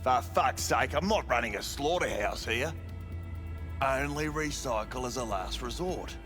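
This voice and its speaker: A man's voice: mid-range voice, lively intonation, a little slow, fairly bright tone, soft background music. An actor playing a sleazy, cynical male brothel manager in a cyberpunk video game, talking in crude, dismissive slang. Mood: wry, defensive. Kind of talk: video game dialogue acting.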